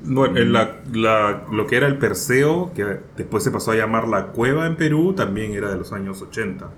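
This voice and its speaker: A man's voice: lowish voice, animated, normal speed, a bit bright. A Peruvian man co-hosting a casual podcast, chatting and reading listener messages aloud. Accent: Peruvian Spanish. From Peru. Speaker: podcast co-host. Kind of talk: casual chat. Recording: echoey room with faint noise.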